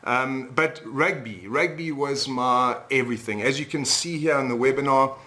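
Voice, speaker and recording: A man's voice: mid-range voice, normal speed, bright, forceful tone. A man from South Africa hosting a sales webinar in an upbeat tone, often checking in with "all right?". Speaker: webinar host and retreat leader.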